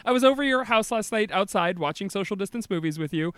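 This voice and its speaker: A man hosting a podcast in casual, joking, animated banter. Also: high-pitched, fast talker, clean tone, no room sound. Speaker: podcast host.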